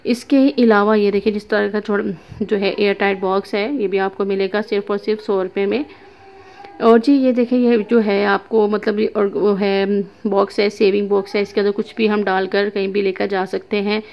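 A woman's voice: medium pitch, flat delivery, moderate pace, gravelly, dull audio. A woman showing items in a crowded shop, chatting to viewers and calling out prices in a repetitive way. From Pakistan. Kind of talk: casual shopping walkthrough.

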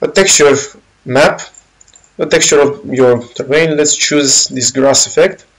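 A man with a Romanian accent casually walking through a game engine's features, pausing often with fillers and correcting himself. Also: medium pitch, poor audio. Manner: halting, many fillers and self-corrections. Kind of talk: casual software walkthrough.

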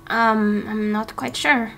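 Woman playing a hesitant, slow-talking young royal. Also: a little high, fairly even intonation, creaky, background noise, pitched below the performer's own voice. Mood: hesitant, unsure. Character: hesitant, soft-spoken returned royal sibling. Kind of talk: amateur game roleplay voice acting.

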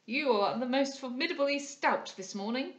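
A witty, teasing gentleman of society played by a woman reader, speaking formally with mock gravity. The lines come from a period novel read aloud, with a roomy echo around the voice. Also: high-pitched, expressive, moderate pace, pitched above the performer's own voice. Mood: arch, dry. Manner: arch, mock-grave, formal. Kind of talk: dramatised audiobook reading of a period novel.

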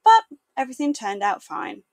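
A woman's voice: high voice, big pitch swings, moderate pace, no echo, studio quality. A woman in her thirties narrating a sailing vlog, telling the week's story with upbeat asides. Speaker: sailing vlogger. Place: boat cabin with wooden panels.

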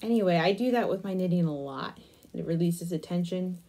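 A woman's voice: on the low side, a little fast, warm tone. A young adult woman chatting casually through a craft demonstration, absorbed and half talking to herself. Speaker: crafting vlogger. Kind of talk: casual crochet demonstration chat. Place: small attic room, indoors.

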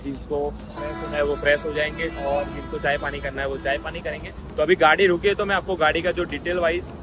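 A man's voice: on the high side, flat delivery, fast talker, music in the background. A man narrating a travel vlog outdoors at bus stations at night, pointing things out to viewers he calls friends. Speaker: travel vlogger.

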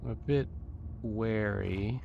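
Slow, creaky low-voiced man muttering over gameplay. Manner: muttering to himself, repeating short phrases. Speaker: video game player and host of a gameplay series. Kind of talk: casual solo let's-play commentary. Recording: dull lo-fi audio with clear background noise.

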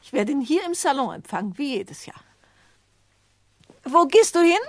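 A woman's voice: sits high, big pitch swings, moderate pace, no echo. An actress playing a domineering, bedridden old mother in a radio play, complaining and giving curt orders. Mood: querulous, suspicious. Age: older. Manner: querulous, commanding, curt.

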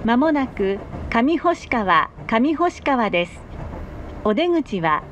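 A woman giving polite, formal, scripted announcements on board a train in a measured way. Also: sing-song. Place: inside a moving train carriage. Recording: dull train PA amid loud carriage noise. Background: train rumble.

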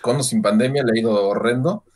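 A Mexican man in his thirties chatting casually as a call-in guest, with slangy, relaxed phrasing. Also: mid-range voice, fast talker. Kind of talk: casual chat. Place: indoor room on a video call.

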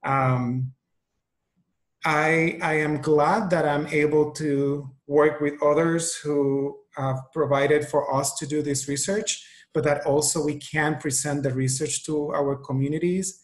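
Spanish-native man, higher-pitched voice. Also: steady tone, takes it slowly. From Puerto Rico. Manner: thoughtful, with frequent ums and restarts. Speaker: researcher on a webinar panel. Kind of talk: panel discussion answer. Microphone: video call.

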